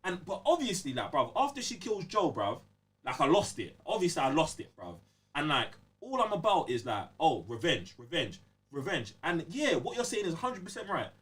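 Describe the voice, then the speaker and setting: Animated London man, slightly high voice, off-mic. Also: moderate pace, recorded from a distance. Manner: slangy, emphatic, repeatedly checking the listener follows. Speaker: podcast co-host. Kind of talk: casual game review chat.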